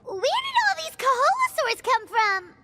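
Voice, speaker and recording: A woman voicing a tiny, excitable, childlike fairy companion in an anime-style video game, in a cutesy, exclamatory manner. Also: very high voice, unhurried, full character voice. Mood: alarmed. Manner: third-person self-reference, exclamatory, cutesy.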